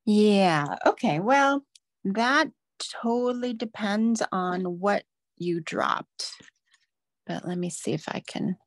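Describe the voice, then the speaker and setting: A woman's voice: medium pitch, lively intonation, slow pace, no room sound. A woman patiently walking viewers step by step through knitting stitches in a live online demo. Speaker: knitting instructor.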